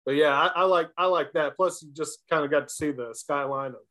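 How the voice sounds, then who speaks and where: A man's voice: a little high, fairly quick, sharp tone. A man chatting casually as an interview guest, reminiscing in a conversational way. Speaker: interview guest, former baseball player.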